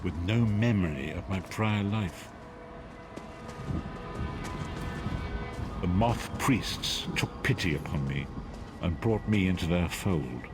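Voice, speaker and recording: An actor playing a frail, blind old prophet and mystic guide in a fantasy video game, speaking gravely in archaic, oratorical phrases. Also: male voice, deep voice, unhurried, music in the background. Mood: solemn, reflective. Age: older. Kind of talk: dramatic fantasy game voice acting.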